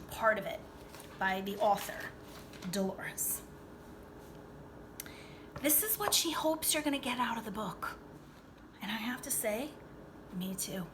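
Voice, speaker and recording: A woman reading a picture book aloud to children like a teacher, expressively, pausing to explain and check in with her young listeners. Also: on the high side, background noise. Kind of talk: read-aloud storytelling with asides to young listeners.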